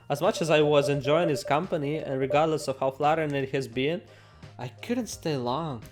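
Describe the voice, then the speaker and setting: A man's voice: fairly high pitch, darkish tone. A man hosting a let's play, reading game text aloud in a playful, theatrical way with joking asides. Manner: playful, theatrical, exclaims and jokes to himself. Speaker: gaming video host. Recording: music behind the voice. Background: game music.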